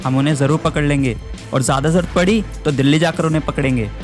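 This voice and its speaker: A man playing a stern, authoritative police inspector in an animated story. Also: a little high, quick pace, natural voice. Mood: dutiful, firm. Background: background music.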